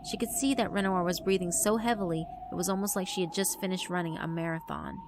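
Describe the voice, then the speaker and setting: A woman's voice: on the low side, noisy background. A woman reading scripted narration for a horror visual novel. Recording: music behind the voice. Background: background music.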